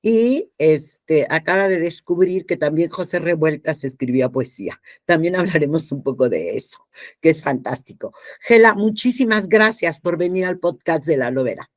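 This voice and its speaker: A woman's voice: low-pitched, animated, moderate pace, no room sound, studio quality. An older woman with a Mexican accent, hosting a conversational interview in an informal, rambling way full of asides and questions. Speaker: podcast host and interviewer.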